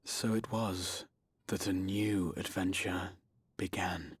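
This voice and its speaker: An actor playing a solemn, wistful storyteller who narrates in a video game with grave, poetic phrasing. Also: male voice, deep voice, level tone, slow pace, slightly rough, heavily acted. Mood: solemn wonder. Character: solemn, wistful male storyteller recalling his youth.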